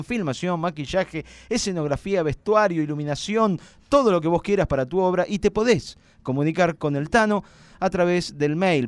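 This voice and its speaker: A man's voice: high voice, no room sound. A man with an Argentine accent hosting a live radio show in an informal, chatty way. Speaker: radio host.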